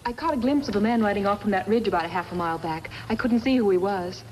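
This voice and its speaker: A woman's voice: medium pitch, fast, a little mellow, lightly acted. A woman playing an eager, kind young newspaper reporter in a 1950s radio western, earnest and gushing. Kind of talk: 1950s radio drama acting. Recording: noisy 1950s radio recording.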